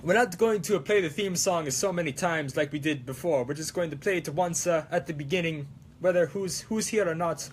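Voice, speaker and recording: A man's voice: on the high side, brisk, a little hiss or hum. A man rambling casually as a livestream host, playful and theatrical, with a put-on, wavering Italian accent. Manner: playful, theatrical, peppered with mock-Italian exclamations. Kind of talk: casual rambling livestream chat while crafting.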